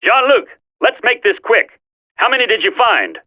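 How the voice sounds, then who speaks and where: An actor playing a cocky, wisecracking, flippant commando in a dramatic video game. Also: male voice, high-pitched, wildly swooping pitch, moderate pace, bright, forceful tone, heavily acted. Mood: brisk.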